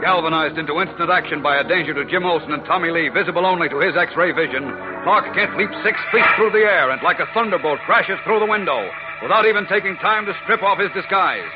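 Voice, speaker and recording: Guy doing dramatic old radio serial narrator, high pitched, blaring music, muffled recording. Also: fast, natural voice. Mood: urgent, thrilled. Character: dramatic, breathless serial announcer. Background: loud music.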